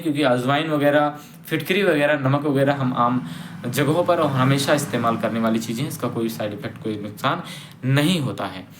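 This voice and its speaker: A man hosting a health channel, giving plain instructions and often pausing to correct himself. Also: a little high, slight background noise. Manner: plain and instructive, with many pauses and self-corrections. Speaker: Ayurveda health channel host. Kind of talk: informal explanatory talk to camera.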